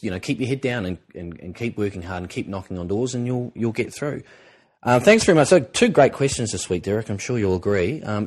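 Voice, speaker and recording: A man co-hosting a podcast with a croaky voice, talking in an earnest, encouraging way and rambling with restarts. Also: fairly low pitch, darkish tone. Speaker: podcast co-host, presenter coach. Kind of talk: casual podcast talk.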